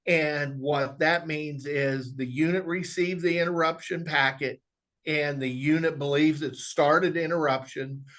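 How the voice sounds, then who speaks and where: A man presenting an instructional software walkthrough, explaining step by step in a conversational way. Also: a little high, fairly even intonation, measured pace. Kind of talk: instructional screen walkthrough, informal webinar.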